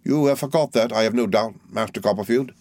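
A man's voice: on the low side, a little fast, darkish tone, no room sound, studio quality, voice pushed up, close to an everyday voice. A man reading aloud and voicing a fawning, falsely humble young male clerk with a wheedling, obsequious manner.

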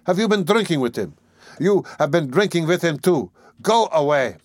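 A man's voice: a little high, lively intonation, moderate pace, dry recording, studio quality, voice pushed up. A man reading an audiobook, voicing a desperate impoverished mother who screams accusations in a frenzy. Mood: frenzied, despairing. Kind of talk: audiobook character voice.

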